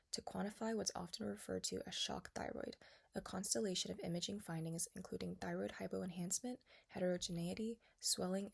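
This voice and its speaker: A woman presenting scripted educational narration of medical research in a formal, measured, explanatory way. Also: mid-range voice, gravelly, slightly rough. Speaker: presenter, junior editor at a radiology education series.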